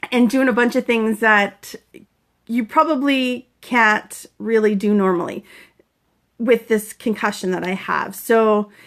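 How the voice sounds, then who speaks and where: A chatty, earnest woman in her forties from Canada, with a voice sitting a little high. She talks casually to her viewers as a fitness coach, now and then breaking off to greet people brightly. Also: steady tone, measured pace. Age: 40s. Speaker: fitness coach sharing concussion recovery. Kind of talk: casual live-stream vlog, greeting viewers.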